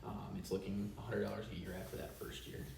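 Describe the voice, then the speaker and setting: A man's voice: lowish voice, flat delivery, quick pace, vocal fry, a little mellow. Man, echoey and slightly distant. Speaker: company representative co-presenting Q&A. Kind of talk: casual Q&A chat. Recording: echoey, distant microphone, faint noise.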